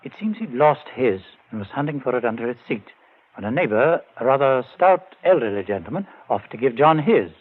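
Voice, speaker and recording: A man's voice: mid-range voice, animated, fairly quick, lightly acted. A man playing an earnest, vengeful brother. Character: grieving brother seeking revenge, earnest. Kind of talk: 1940s radio drama acting. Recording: tinny old radio broadcast.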